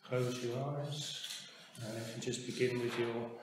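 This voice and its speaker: A man teaching a guided meditation in a calm, measured manner with long pauses. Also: mid-range voice. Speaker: Buddhist monk meditation teacher. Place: meditation hall. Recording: very echoey hall, faint background noise.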